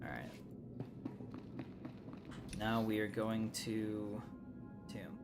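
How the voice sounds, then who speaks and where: A man's voice: fairly low pitch, fairly even intonation, extremely slow. A man giving casual live gameplay commentary, rambling and chatting easily with viewers. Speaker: live streamer and YouTube gamer. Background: loud game music.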